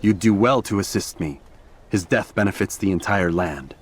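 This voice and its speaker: An actor playing a cool, arrogant young assassin in a video game, speaking in a curt, commanding way. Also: male voice, sits low, moderate pace, breathy edge. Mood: curt, proud.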